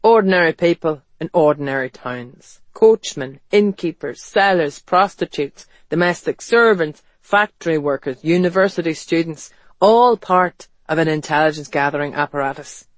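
A man acts out a gruff, wary man from a period novel. He is terse and plain-spoken, and the audiobook sound is close and very dry. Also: a little high, moderate pace, hardly any pauses, no room sound, close to an everyday voice. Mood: grave. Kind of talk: audiobook character dialogue.

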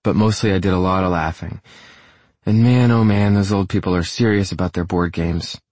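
A man's voice: low-pitched, steady tone, normal speed, a little mellow, dry recording, voice pushed up. A man voicing a bubbly, self-conscious, sweetly oddball young woman in an audiobook, gushing and a little hesitant. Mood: playful, chatty. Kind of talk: audiobook character dialogue.

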